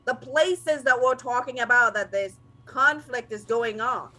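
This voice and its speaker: A woman hosting a talk show, measured and steady as she works through points. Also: fairly high pitch. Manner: steady, measured, leads the agenda. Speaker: host.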